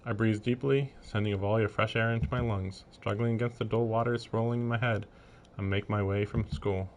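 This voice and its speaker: A man's voice: on the low side, steady tone, brisk, slight background noise, poor audio. A man livestreaming a visual novel, reading the narration aloud and breaking off into casual, amused commentary.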